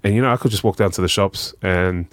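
Young kiwi guy rambling on a podcast, lowish voice, dry close sound. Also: fast talker, no echo. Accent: New Zealand. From New Zealand. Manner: relaxed, rambling, lots of "you know" and "um", says "bro". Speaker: guest, charity founder. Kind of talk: casual podcast conversation.

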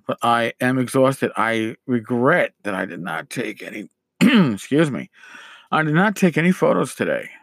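A middle-aged man hosting a casual solo podcast, his voice scratchy as he loses it, rambling with many pauses and restarts. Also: mid-range voice, gravelly, fairly bright tone, dry recording, studio quality. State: losing his voice, scratchy throat. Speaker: podcast host.

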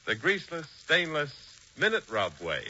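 A man's voice: fairly high pitch, huge pitch swings, moderate pace. A man announcing in a polished, emphatic 1940s radio style. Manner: polished, emphatic sales delivery. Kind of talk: 1940s radio advertising and scripted narration. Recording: dull, faintly noisy 1940s radio recording.

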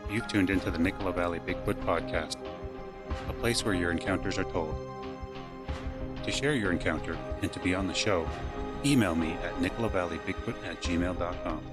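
A man hosting a podcast, reading a measured scripted introduction. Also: sits low. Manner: slow, measured announcing. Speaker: podcast host. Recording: loud music bed under voice.

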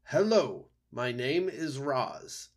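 A man's voice: medium pitch, sing-song, takes it slowly, husky, voice pushed up, exaggerated voice. A man voicing a self-important, alarmed owl in an animal story, declaiming in a screechy hoot. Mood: anxious, announcing. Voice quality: screechy, hooting. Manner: declaiming, agitated.

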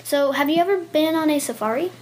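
A woman's voice: high-pitched, expressive, fast talker, a little mellow, slight background noise. A young woman hosting her own casual podcast, chatty and eager, interviewing her grandmother with many ums. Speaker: podcast host.

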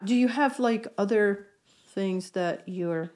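A woman's voice: mid-range voice, slow pace, a little mellow, dry recording, clean studio sound. A woman from Poland with a Polish accent, hosting a podcast and asking loose, searching questions with frequent restarts. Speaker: podcast host. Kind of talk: casual podcast interview.

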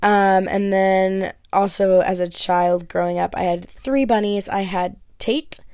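A woman's voice: medium pitch, fairly even intonation, measured pace, darkish tone, smooth, no echo, clean studio sound. A young woman hosting a casual question-and-answer podcast from her bedroom, chatty and full of "like" and "um". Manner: chatty, lots of "like" and "um". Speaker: podcast host. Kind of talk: casual Q&A chat.

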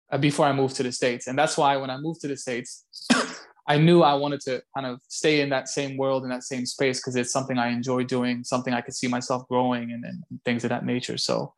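A man from Belgium answers interview questions in a casual way. His voice sits at a medium pitch, and he speaks haltingly, often doubling up on words as he puts his thoughts together. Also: creaky. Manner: halting, repeats words as he goes. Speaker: interview guest, call center site director.

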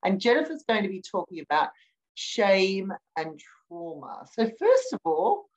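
A woman's voice: medium pitch, huge pitch swings, unhurried, rough recording. A woman with an accent between English and Australian, hosting an interview podcast and giving an encouraging, attentive ear to her guest. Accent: mixed English and Australian. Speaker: podcast host. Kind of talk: hosted interview.

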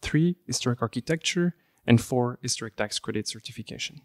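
Man, Belgian French accent, native French speaker, formal interview guest, measured with "uh" fillers, dry close sound. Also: mid-range voice, lively intonation, no echo. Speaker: guest, preservation engineer. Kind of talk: podcast interview.

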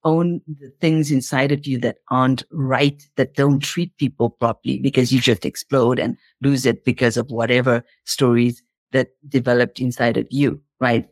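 A woman's voice: rumbling low, fairly even intonation, normal speed, a little mellow, no echo. A woman speaking as an interview guest, explaining in an impassioned motivational style and repeating phrases for emphasis.

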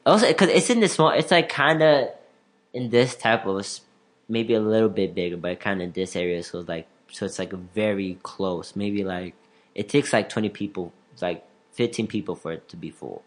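A man's voice: on the low side, moderate pace. A man who is a stand-up comedian and stutters, talking in a rambling casual way and laughing often. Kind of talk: casual podcast chat.

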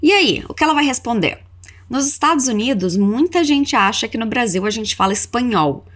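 Lively Brazilian woman teaching. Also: on the high side. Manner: instructive, enunciates example phrases slowly and deliberately. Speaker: English teacher hosting a podcast lesson. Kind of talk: scripted language lesson with repeat-after-me drills.

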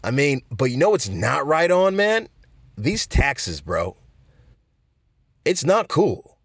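A man's voice: medium pitch, big pitch swings, takes it slowly, fairly bright tone, slightly rough, no echo, clean studio sound, pitched above the performer's own voice, exaggerated voice. A man doing a drawling old stoner dude. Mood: mellow griping. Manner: drawling, peppered with "man" and "bro".

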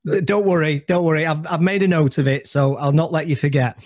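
A man with a slightly higher voice interviews a guest, effusive and encouraging, stumbling now and then over his words. His voice sounds dry and close, with no room around it. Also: fairly even intonation, a little fast, darkish tone, no echo. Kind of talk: casual conversational interview.

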